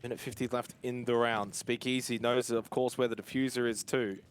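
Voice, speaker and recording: A man's voice: mid-range voice, flat delivery, brisk, slight background noise. A man giving colour commentary on a live esports match in a conversational, reactive way.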